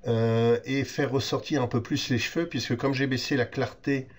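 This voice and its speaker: A man with a French accent, a bit stuffy from a cold, talking through a photo editing tutorial in a relaxed way and often pausing to think aloud. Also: mid-range voice. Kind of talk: screen-recorded software tutorial.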